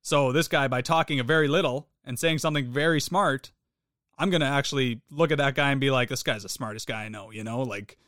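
A man's voice: medium pitch, fairly quick, fairly bright tone, no room sound, studio quality. Agreeable reflective podcast man. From Canada. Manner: agreeable, reflective, often affirming. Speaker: podcast co-host. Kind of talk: casual conversational podcast.

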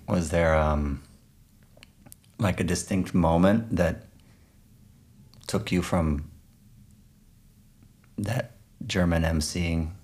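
A man hosting an interview in a casual, thoughtful way, tentative and pausing mid-phrase as he builds his questions. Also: deep voice, a little mellow. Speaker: podcast interviewer.

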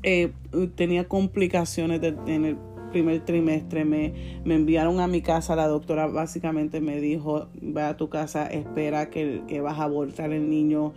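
A woman's voice: sits low, little pitch movement, normal speed. A young woman with a Puerto Rican accent, chatting casually and cheerfully as a faith podcast co-host. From born in Puerto Rico, raised in the United States. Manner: cheerful, given to laughing. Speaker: podcast co-host, church worship leader. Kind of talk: casual testimonial chat. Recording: soft guitar music behind voice. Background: soft guitar music.

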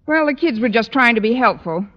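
Sardonic tired teacher, wisecracks, highish voice, muffled old radio comedy. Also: female voice, lively intonation, a little fast, fairly bright tone. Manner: dry, wisecracking. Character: wry, sardonic, weary adult woman schoolteacher. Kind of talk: 1940s-50s radio comedy acting. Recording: muffled, noisy old-time radio recording.

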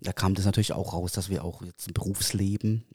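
A man from Kirrlach in Germany hosting a casual podcast interview, asking questions in a relaxed, conversational way. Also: low-pitched, fast, slightly rough, dry recording, clean studio sound. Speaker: podcast host.

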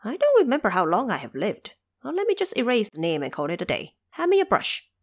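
A woman's voice: on the high side, huge pitch swings, fast, no room sound, clean studio sound, exaggerated voice. A woman voicing a defiant, boastful monkey warrior in an audio drama, with an imperious, challenging manner. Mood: brash, triumphant.

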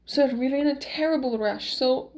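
A woman's voice: high-pitched, normal speed, darkish tone. A woman voicing an earnest younger man, polite and urgent, in an audiobook story. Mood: earnest, pleading. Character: earnest younger skinny man pleading with an old healer.

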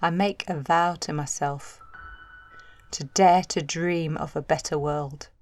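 A woman's voice: low-pitched, steady tone, slow pace, a little mellow. An actress playing an anguished, determined young mother in 1940s wartime, speaking an intense, poetic first-person monologue in an audio drama. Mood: resolute. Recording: music underneath.

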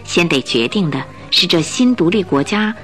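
A woman's voice: on the low side, lively intonation, moderate pace, soft background music. A woman narrating a radio drama in a scripted storytelling style.

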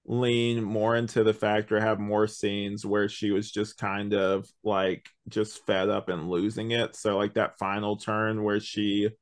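Low-pitched man chatting casually. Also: flat delivery, measured pace, few pauses. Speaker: podcast co-host reviewing an audio drama. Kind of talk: casual chat.

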